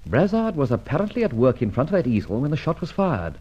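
A man playing a suave, wry and commanding gentleman adventurer in a vintage radio adventure serial. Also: mid-range voice, wildly swooping pitch, quick pace, husky. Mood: brisk reasoning. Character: suave, self-assured gentleman adventurer and amateur sleuth. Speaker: narrator and lead actor. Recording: dull old radio recording, music behind.

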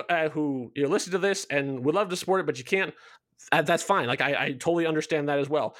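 A man's voice: on the high side, no echo, studio quality. A man speaking as a show creator in a casual promotional chat, rambling along in a jokey, self-deprecating way. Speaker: show creator being interviewed.

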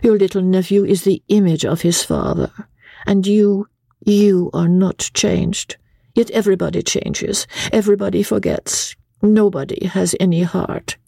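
Woman putting on a coaxing, mellow foreign lady gambler. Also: mid-range voice, measured pace, gravelly, no echo, clean studio sound, pitched above the performer's own voice. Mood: sad, reproachful. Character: small masked foreign lady gambler, wistful, coaxing, sly.

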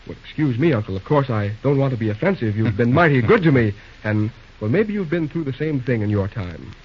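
An actor playing an earnest, self-pitying, hot-headed young railroad superintendent in an old-time radio drama, despairing in folksy slang. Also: male voice, medium pitch, big pitch swings, a little fast, breathy edge. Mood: sheepish. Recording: muffled old radio show recording.

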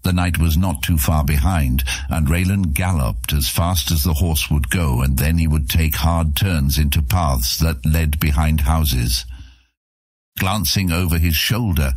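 A man's voice: extremely low pitch, slightly rough, no room sound, studio quality. A man narrating an epic fantasy story as a scripted audiobook, with dramatic storytelling delivery.

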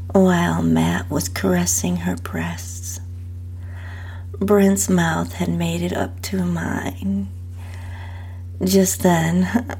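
A woman with a breathy, whispery, husky voice narrates a first-person story in an intimate, sultry, hushed manner. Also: on the low side. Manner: slow, hushed, sultry delivery. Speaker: host and narrator of own story podcast.

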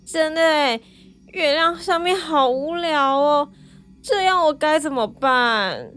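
High girly moon fairy lady, huffy n exclaimy, slow, music behind. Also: animated, fairly bright tone, heavily acted. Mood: worried, surprised. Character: young moon lady Chang'e, girlish, indignant, easily startled.

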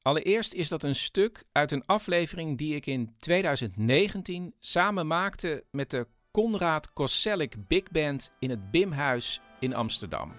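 A man's voice: on the high side, lively intonation, measured pace, a bit bright, faint music behind. A man hosting a storytelling show, narrating in a personal way.

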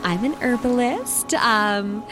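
A woman's voice: fairly high pitch, expressive, slow pace. A woman playing an earnest, nurturing, nature-loving herbalist mom in a comedic audio drama, gushing and a little hesitant. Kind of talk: improvised-sounding comedic audio drama acting. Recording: loud music bed under voice.

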